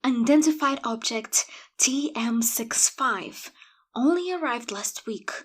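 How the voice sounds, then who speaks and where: An actress playing a jaded, dryly sardonic veteran agent, husky and clipped, in audio drama dialogue. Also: female voice, a little high, slow pace. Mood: intent. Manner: dry, clipped, deadpan.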